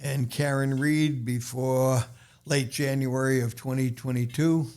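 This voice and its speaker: Slow, halting man with a Boston accent. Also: mid-range voice, fairly even intonation, darkish tone. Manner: slow, halting, many restarts and fillers. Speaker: podcast guest, author. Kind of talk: casual podcast conversation.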